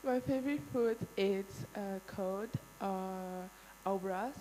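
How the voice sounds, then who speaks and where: A woman's voice: mid-range voice, slow pace, dark tone, slight background noise, poor audio. Hesitant teenage woman, humming line. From São Tomé and Príncipe. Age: teens. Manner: hesitant, with fillers. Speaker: pageant contestant.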